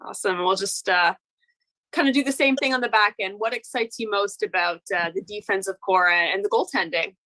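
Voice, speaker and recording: A woman's voice: medium pitch, fairly quick, bright, forceful tone, low-quality recording. A woman conducting a prepared sports interview.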